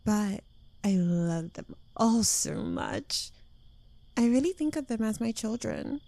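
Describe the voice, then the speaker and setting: Woman acting a slow, creaky-voiced possessive witch girlfriend. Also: medium pitch, expressive, mellow, dry recording. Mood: clingy, unhinged sweetness. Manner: coy, teasing, lingering delivery dropping into breathy whispers. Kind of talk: intimate ASMR roleplay, addressed to the listener.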